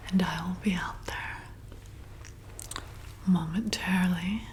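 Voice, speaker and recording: A woman's voice: fairly low pitch, fairly even intonation, a little hiss or hum. A woman playing a flirty, hypnotic vampire bartender in an ASMR roleplay, soothing and coaxing as she repeats herself. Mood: coaxing, praising. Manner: soothing, coaxing, slow and repetitive.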